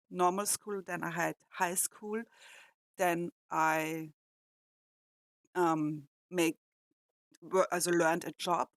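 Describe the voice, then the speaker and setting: Slow halting Austrian woman, low voice. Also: no room sound. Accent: Austrian German. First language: German. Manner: halting, searching for words, many fillers. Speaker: reaction video host. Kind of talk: casual reflective commentary.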